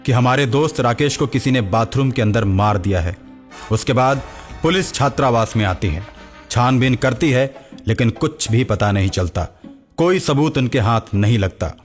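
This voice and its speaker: Male narrator, mid-pitched voice, scripted storytelling, music in the background.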